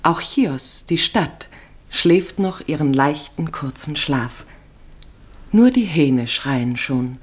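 A low-pitched female narrator from a 1950s radio feature. She tells a literary travel story in the first person, declaiming it carefully and poetically. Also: animated, slow pace.

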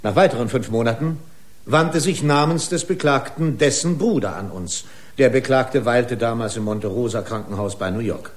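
An actor playing a pedantic, accusing corporate lawyer in a German radio drama, speaking in formal, pompous legal style. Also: male voice, mid-range voice, moderate pace, close to an everyday voice. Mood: pedantic, indignant.

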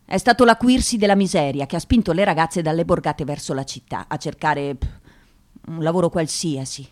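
An actress playing a thoughtful journalist who narrates a radio drama in a measured storytelling manner. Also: female voice, sits low, a little mellow, close to an everyday voice. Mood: sober, descriptive.

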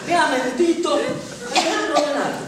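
A man's voice: extremely high pitch, moderate pace, a loud crowd. An actor playing a blunt, hot-tempered male temp worker in a stage play, brusque and mocking. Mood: sardonic. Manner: brusque, mocking, laughs a lot. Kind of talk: stage play recorded for radio. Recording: echoey distant stage recording with audience.

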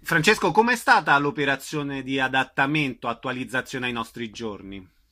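A man hosting a radio arts program, announcing events and interviewing guests in a brisk way. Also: medium pitch, sharp tone. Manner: brisk announcing, listing names and dates. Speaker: radio host.

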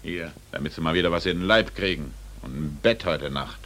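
An actor playing a paternal, condescending consul in a 1940s German radio play, speaking with emphatic, admonishing authority. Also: male voice, rumbling low, brisk, a bit bright. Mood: condescendingly kind.

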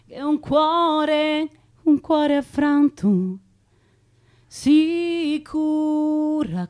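A woman performing a theatrical, melancholy love song with slow, drawn-out phrasing. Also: sits high, mellow, dry recording, clean studio sound.

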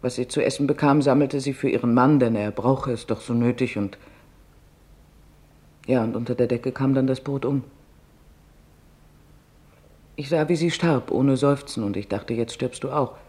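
A man voicing a weary, sorrowful female camp survivor in a radio-play monologue, recalling in a plain, subdued way with a creaky voice. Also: mid-range voice, normal speed, darkish tone, close to an everyday voice.

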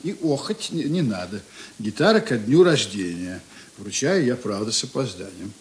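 An actor playing a breezy, bossy, generous writer in a radio play, chatting and interjecting jovially. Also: male voice, lowish voice, lively intonation, normal speed, soft background music, natural voice. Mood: bustling, generous. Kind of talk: Russian radio play acting.

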